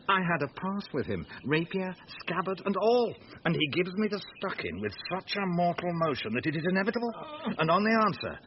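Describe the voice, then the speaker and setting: An actor playing a boisterous, scheming knight in a Shakespearean radio comedy, blustering with mock-solemn theatrical delivery. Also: male voice, fairly high pitch. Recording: dull lo-fi radio recording, noisy.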